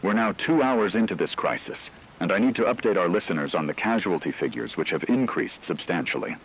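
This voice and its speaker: A man playing a grave radio news anchor, delivering a scripted breaking report in a formal, measured, factual manner. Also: low voice, lively intonation, slight background noise, poor audio. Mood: grave, controlled.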